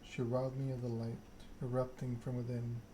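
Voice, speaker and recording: Man voicing a proud, measured vampire schemer, noisy. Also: mid-range voice, monotone, mellow, natural voice. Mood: bitter, resolute. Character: proud, scheming vampire aristocrat telling their story. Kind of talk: ASMR read-aloud of game dialogue.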